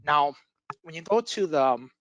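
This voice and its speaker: Slightly hoarse man teaching a webinar. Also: fairly high pitch, animated, normal speed, a bit bright, no echo, muffled recording. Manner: casual, lots of fillers and restarts. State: losing his voice from illness. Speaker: IT instructor. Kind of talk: webinar presentation.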